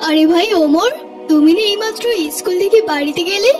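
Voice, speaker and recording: An eager young cartoon schoolboy acted out by a performer, with an extremely high voice. He is earnest and headstrong, and music plays behind him. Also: brisk, a little mellow. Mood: cheerful, curious. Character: eager young schoolboy, pleading then stubbornly demanding. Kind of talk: cartoon voice acting.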